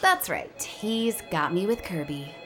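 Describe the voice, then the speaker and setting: A woman's voice: fairly low pitch, sing-song, measured pace, fairly bright tone, soft background music. A woman playing a cool, confident field agent in a scripted audio drama. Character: cool, self-assured female field agent.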